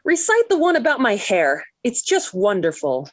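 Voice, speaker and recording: A woman's voice: on the high side, huge pitch swings, normal speed, no echo. An actress playing an earnest, emphatic American teenage girl in love, in 1940s radio sitcom style. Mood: gushing. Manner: gushing or indignant, emphatic.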